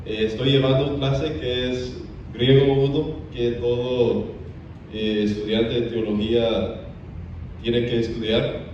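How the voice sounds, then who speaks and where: A man teaches a church seminar and pauses often on "eh" as he goes. He is heard from a distance in a strongly echoing church. The sound is dull and muffled, with faint noise underneath. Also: medium pitch, fairly even intonation, darkish tone. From Belize. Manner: frequent "eh" hesitations.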